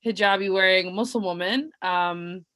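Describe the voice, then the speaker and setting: A young woman from Nova Scotia with a loud voice introduces herself as a guest speaker on a video call from her bedroom, talking earnestly with frequent ums and uhs. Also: medium pitch, moderate pace. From Nova Scotia, Canada. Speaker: community organizer and guest speaker. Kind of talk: personal self-introduction at an online panel.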